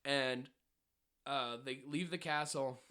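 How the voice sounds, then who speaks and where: A man's voice: medium pitch, steady tone, takes it slowly, pushed and bright. A man co-hosting a podcast, recapping a cartoon in a joking, profane way. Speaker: podcast co-host. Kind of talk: casual chat.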